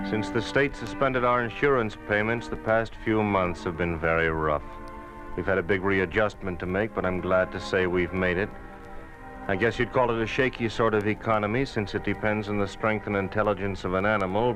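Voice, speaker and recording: Male actor as earnest husband and son, lowish voice, measured radio drama delivery, music behind. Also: close to an everyday voice, rough recording. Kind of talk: old-time radio drama acting.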